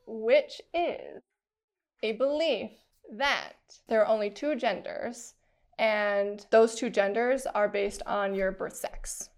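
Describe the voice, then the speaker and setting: Woman co-host from the United States, a bit high-pitched, direct and earnest. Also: slow. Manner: outspoken, earnest. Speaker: podcast co-host. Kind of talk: casual chat.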